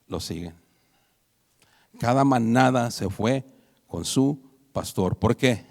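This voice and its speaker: A man preaching a conversational sermon to his congregation, asking them rhetorical questions. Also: mid-range voice. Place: church hall. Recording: reverberant church hall.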